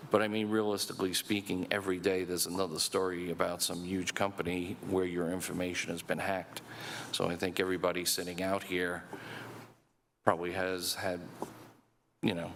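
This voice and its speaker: A man's voice: deep voice, a bit bright, slight background noise. A man on a business panel speaking hesitantly and reflectively in a meeting room. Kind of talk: panel talk.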